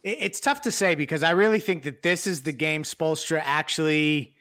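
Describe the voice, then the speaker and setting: A man's voice: fairly high pitch, normal speed, fairly bright tone, no room sound, clean studio sound. A man giving casual sports analysis as a panelist on a podcast.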